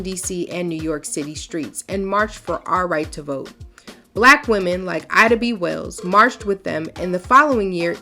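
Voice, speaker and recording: Expressive woman reading a storybook. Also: medium pitch. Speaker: children's storytime host. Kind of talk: reading a picture book aloud.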